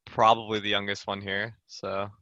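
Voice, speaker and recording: A man's voice: fairly low pitch, flat delivery, pushed and bright, no room sound, muffled recording. A young man from Texas introducing himself eagerly over a video call. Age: young adult. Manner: eager, quick.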